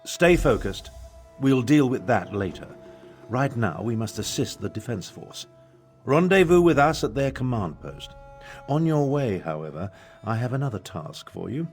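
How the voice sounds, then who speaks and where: A man's voice: medium pitch, very animated, normal speed, a little mellow. An older man acting a pompous, haughty battle mage and imperial advisor in a video game, with theatrical delivery. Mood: brisk, commanding. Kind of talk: video game character dialogue. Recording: music behind the voice.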